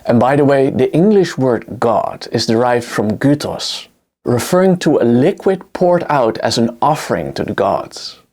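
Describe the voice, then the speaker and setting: A man's voice: mid-range voice, unhurried. A man with a Dutch accent, a native Dutch speaker, narrating a scripted history lesson in an explanatory way. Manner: explanatory, lively intonation. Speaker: history lecturer.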